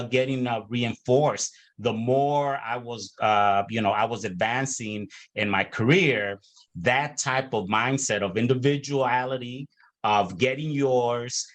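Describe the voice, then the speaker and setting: A middle-aged man from Chicago, speaking earnestly on a panel over a video call and pausing often with filler sounds. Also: mid-range voice, fairly bright tone. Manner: earnest, many hesitations and restarts.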